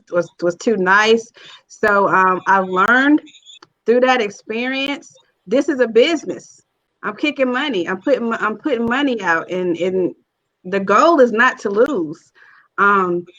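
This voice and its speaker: A woman's voice: mid-range voice, fairly bright tone. A woman speaking bluntly and colloquially in a panel chat, on dull lo-fi call audio. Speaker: stage play writer-director.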